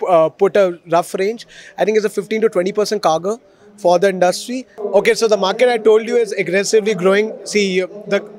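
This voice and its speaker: A man in his thirties with an Indian accent, fluently explaining products in business jargon as a marketing manager in an interview. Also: sits high. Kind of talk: promotional interview answers. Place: exhibition stall indoors. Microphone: handheld reporter microphone held at chest.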